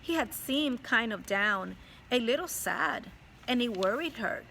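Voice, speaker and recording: Bright, gravelly-voiced teacher reading aloud. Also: female voice, on the high side, expressive, unhurried, a little hiss or hum, rough recording. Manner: warm, explains to children directly. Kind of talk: read-aloud storytelling with commentary.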